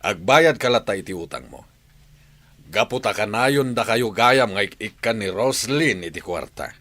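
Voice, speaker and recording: Mocking stubborn moneylender in radio comedy, swoopy pitch, close dry sound. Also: male voice, animated, moderate pace, a bit bright, no room sound. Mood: stern, insistent. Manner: insistent, scornful. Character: stern, mocking, unbending village moneylender man. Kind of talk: radio comedy drama acting.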